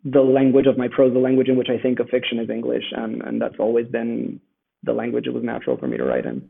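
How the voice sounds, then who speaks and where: Gravelly man on a tinny call line. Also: medium pitch, quick pace. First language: Italian. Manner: thoughtful, many restarts and fillers. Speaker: author, guest. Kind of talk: conversational interview. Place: home in Rome. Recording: tinny phone-like remote call.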